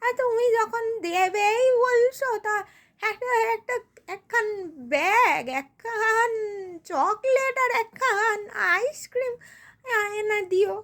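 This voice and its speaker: A woman's voice: very high voice, slow, a bit bright, pitched above the performer's own voice, exaggerated voice. A woman voicing a poor, self-pitying old village woman, whining and grumbling rustically in a comic skit. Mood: plaintive, tearful. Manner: querulous, whining, rustic, repetitive.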